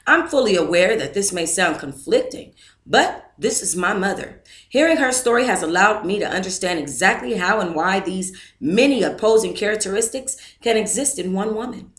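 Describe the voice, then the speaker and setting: Woman host reading a book aloud to a live audience, chatty between passages, mid-pitched, in an echoey room. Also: fairly bright tone. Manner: casual, talks straight to viewers between read passages.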